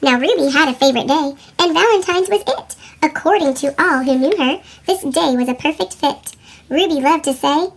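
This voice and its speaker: A woman reading a children's picture book as storyteller, with a squeaky chipmunk voice effect. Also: normal speed, vocal fry. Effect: sped-up chipmunk pitch shift. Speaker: read-aloud storyteller for children.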